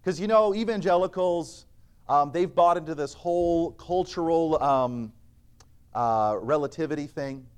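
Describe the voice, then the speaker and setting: Higher-voiced man preaching earnestly. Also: measured pace, clear, resonant tone. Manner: earnest, emphatic preaching with swings between loud and soft; recites scripture in old-fashioned wording. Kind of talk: sermon.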